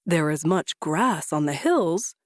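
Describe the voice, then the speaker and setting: A woman's voice: deep voice, expressive, slow pace, dry recording, studio quality, pitched below the performer's own voice. A woman voicing a very old man in a storybook, kindly, earnest and easily charmed, speaking with simple wonder. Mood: helpful, kindly.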